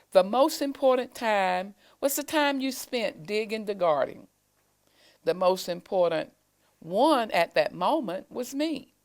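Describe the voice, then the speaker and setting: A woman voicing a wise, patient old male turtle mentor in a storybook, explaining things gently and deliberately. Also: medium pitch.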